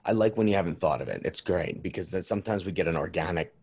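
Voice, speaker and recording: Male interviewer, deep and husky, chatting casually with halting, informal delivery. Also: darkish tone. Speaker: podcast host, interviewer.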